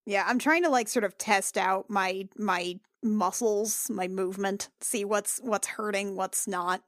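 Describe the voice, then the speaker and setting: A woman's voice: mid-range voice, steady tone, a little slow, no room sound, clean studio sound. A woman playing a tabletop roleplaying game, describing actions in a casual way. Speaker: player.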